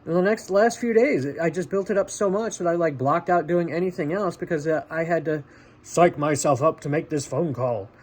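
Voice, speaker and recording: A man with a voice pitched a little higher than average hosts a personal vlog. He chats casually and directly to the listener, treating them as a friend. His manner is encouraging and conversational, in the style of an informal motivational talk. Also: normal speed. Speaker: vlog host.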